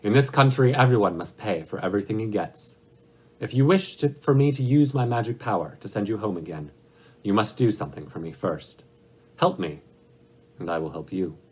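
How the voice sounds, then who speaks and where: A man's voice: fairly low pitch, normal speed, slightly rough, poor audio. A man voicing a giant, stern wizard head with an imperious, demanding, declamatory manner. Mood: commanding. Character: giant disembodied wizard head, great, terrible, stern and commanding.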